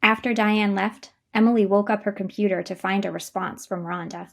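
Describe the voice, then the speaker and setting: A woman's voice: medium pitch, fairly even intonation, normal speed, vocal fry. A woman narrator reading scripted linking narration for a drama.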